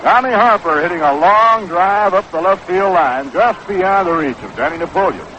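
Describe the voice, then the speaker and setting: A man calling baseball play-by-play on 1960s radio, measured and descriptive, on an old broadcast recording. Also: high voice, animated. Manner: measured, descriptive calls that quicken on action. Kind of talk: 1960s live radio sports commentary. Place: outdoor ballpark broadcast position. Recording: noisy, dull vintage 1960s radio broadcast.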